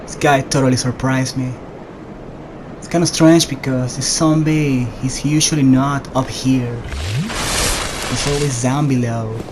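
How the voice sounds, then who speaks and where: A man's voice: mid-range voice, noisy background. A man giving casual gameplay commentary as he plays. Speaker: gamer.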